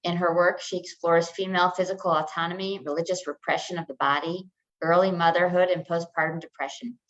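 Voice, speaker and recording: A woman hosting an online author event, introducing guests in a slightly halting way over a video call. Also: on the low side, steady tone. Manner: warm, slightly scattered, halting. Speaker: host. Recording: dull lo-fi video call audio.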